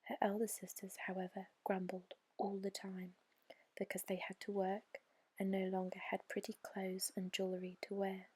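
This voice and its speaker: A woman reads a bedtime story in a gentle, soothing ASMR whisper, breathy and measured, close to the microphone. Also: mid-range voice, completely flat delivery.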